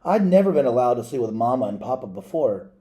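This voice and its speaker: A man reading a children's picture book aloud as a storyteller, in a measured, dramatic way with pauses and asides to listeners. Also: medium pitch, big pitch swings, warm tone.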